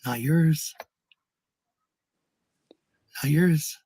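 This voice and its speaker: Husky, low-voiced woman, defensive and blunt. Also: extremely slow, mellow, dry recording, studio quality. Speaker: livestreamer. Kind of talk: casual rant to followers.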